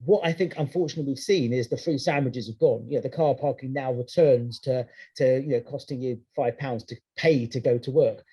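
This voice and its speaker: A man's voice: medium pitch, fairly quick, hardly any pauses, mellow, slightly rough. A man speaking at a webinar, answering a question in a conversational way with many restarts. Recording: dull lo-fi video-call audio.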